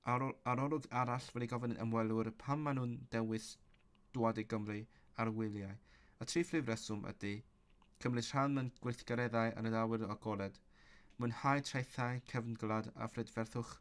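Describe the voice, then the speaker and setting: A man in a casual livestream works through a textbook, reading passages aloud and then musing on them in his own words. He sits at an ordinary middle pitch and chuckles to himself often. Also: steady tone, moderate pace. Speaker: language learner streaming his study session.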